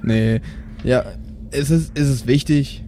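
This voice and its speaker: A man playing a bumbling spaceship captain, talking casually and haltingly, repeating himself. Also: medium pitch, warm tone, slight background noise, lightly acted. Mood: groggy, bewildered. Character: sleepy, bumbling male spaceship captain and doctor. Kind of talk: improvised audio drama.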